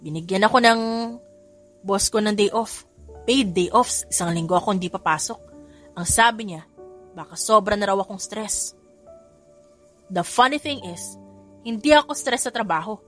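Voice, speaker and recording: A woman's voice: medium pitch, moderate pace, long pauses, faint music behind, lightly acted. A woman voicing an exhausted young secretary who grumbles about the boss's children. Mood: weary. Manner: weary, grumbling.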